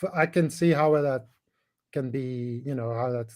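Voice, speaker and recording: Middle-aged Flemish man, Dutch native, halting caller, mid pitch. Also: crowd noise. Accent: Flemish Belgian. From Belgium, Dutch-speaking part. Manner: halting, hesitant, many restarts and fillers. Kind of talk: casual call-in chat.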